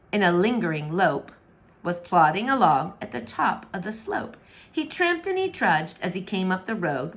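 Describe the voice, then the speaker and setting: A woman reading a rhyming children's book aloud in an expressive, rhythmic, dramatic narration. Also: mid-range voice. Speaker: storybook reader.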